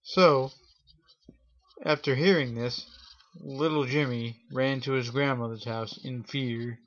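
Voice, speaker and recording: A man hosting a show and improvising a spooky story for his viewers, speaking haltingly in short phrases with pauses. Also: medium pitch, steady tone, lo-fi sound. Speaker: host telling a scary story.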